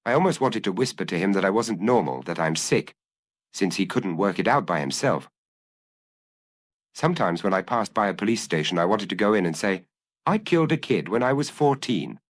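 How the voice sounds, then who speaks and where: A man's voice: sits low, animated, moderate pace, dry recording. Man reading as an anguished, confessing killer, widely swinging voice. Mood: desperate. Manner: earnest, self-analyzing, confessional. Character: anguished young male killer confessing to his compulsion. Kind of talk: dramatic audiobook reading.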